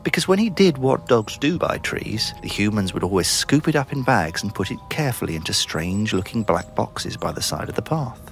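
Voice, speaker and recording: Expressive male children's storyteller, lively pitch. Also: fairly quick, creaky, few pauses, faint music behind. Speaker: storybook narrator. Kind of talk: scripted children's story narration.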